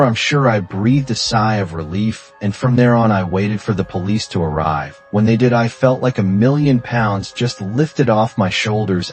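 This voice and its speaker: A man with a fairly low voice narrates a scary story in the first person, as a scripted read. Music plays under his voice. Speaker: horror story channel narrator.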